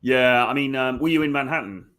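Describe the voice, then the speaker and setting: A man hosting an interview, asking measured questions and answering often with "yeah". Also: medium pitch, fairly bright tone. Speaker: host, dating coach. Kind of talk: podcast interview.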